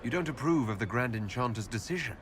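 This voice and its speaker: A man playing a composed, inquisitive elven leader in a fantasy game, measured and questioning. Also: mid-range voice, lively intonation, background noise, natural voice. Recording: music behind the voice.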